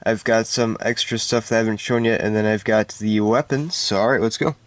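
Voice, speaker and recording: A man with a congested voice giving a casual, halting, unscripted show-and-tell of a collection. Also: lowish voice, fairly even intonation. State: stuffed-up, congested voice. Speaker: hobby collector hosting a video.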